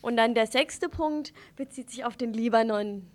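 A woman's voice: on the high side, moderate pace. A woman presenting topics as co-host of an informal radio news programme.

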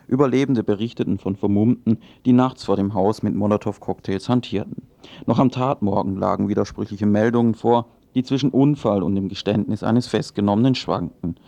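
A man delivers sober radio commentary in a low voice with noticeable creak. The delivery is formal and critical, and the recording is dry and close. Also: fairly quick, warm tone, dry recording. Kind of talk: scripted political commentary.

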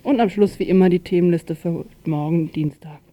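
A woman's voice: fairly low pitch, normal speed, dark tone. A woman presenting a radio news overview in an informal way, stumbling now and then over announcements.

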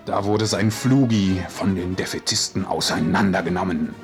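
A man's voice: low voice, normal speed, soft background music. A man narrating a radio parody in a solemn, grandiose storyteller tone.

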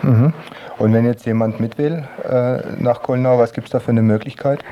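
A man's voice: mid-range voice, fairly even intonation, normal speed, warm tone, a little hiss or hum. A man hosting a local radio news programme, interviewing and reading announcements.

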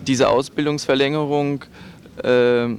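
A man's voice: medium pitch, little pitch movement, unhurried, slight background noise. A man in his twenties giving a formal, explanatory interview statement as a student representative.

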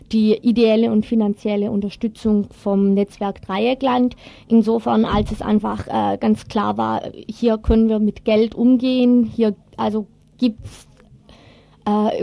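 A woman's voice: a little high, vocal fry, dark tone, dry recording. A woman giving a spontaneous, earnest explanation as an interviewee, often correcting herself as she goes. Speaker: women's project organiser being interviewed.

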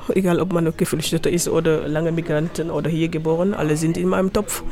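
A woman's voice: low-pitched, fairly even intonation, a little fast, mellow. A woman working as an adult education trainer, speaking firmly and earnestly about her work in an interview.

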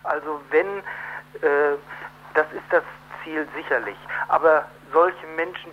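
A man speaking as an activist guest in a live radio interview, halting, earnest and colloquial, over the telephone. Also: a little high, a little hiss or hum. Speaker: activist guest from an unemployed group. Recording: muffled, dull telephone line.

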